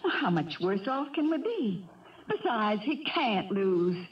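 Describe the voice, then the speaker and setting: A woman's voice: medium pitch, big pitch swings, a little slow. An actress playing a determined, desperate farm wife and mother, speaking urgently and formally in a radio drama. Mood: insistent. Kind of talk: 1970s radio drama acting. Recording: dull 1970s radio recording.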